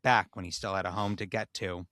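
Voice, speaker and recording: Man, low pitch, bright forceful tone, dry close sound, mock-solemn comedic story reading. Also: steady tone, brisk, dry recording. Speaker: podcast host reading a story aloud. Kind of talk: comedic dramatic reading with casual banter.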